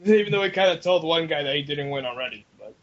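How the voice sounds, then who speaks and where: A man co-hosting a casual group podcast, chatting in a jokey, animated way and swearing freely. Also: fairly high pitch, fast. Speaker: podcast co-host. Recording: dull lo-fi voice chat.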